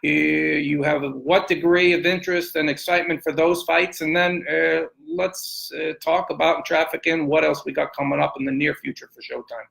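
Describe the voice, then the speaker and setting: Creaky-voiced man hosting an interview. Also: a little high, little pitch movement, moderate pace, few pauses, fairly bright tone, low-quality recording. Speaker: podcast host interviewer. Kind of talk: conversational sports interview.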